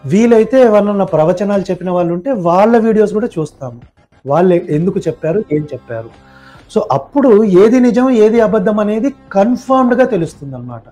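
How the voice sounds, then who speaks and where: A man's voice: sits high, lively intonation, quick pace, dark tone, faint music behind. A man speaking as a podcast guest, explaining his opinions point by point in a didactic, conversational way.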